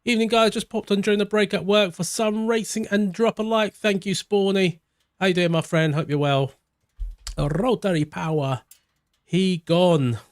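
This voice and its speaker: Man, from Portugal, high voice, live game streamer, excitable shouting in races, relaxed rambling chat. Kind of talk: live gameplay commentary and chat reading.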